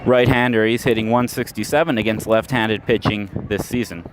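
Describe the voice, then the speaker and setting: A man with a fairly low voice calls a baseball game for radio, working through counts and stats in a steady, measured way. The live recording carries clear ballpark noise from the stands and the street outside. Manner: steady, measured call with stats between pitches, rising sharply on big plays. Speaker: play-by-play announcer. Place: outdoor ballpark.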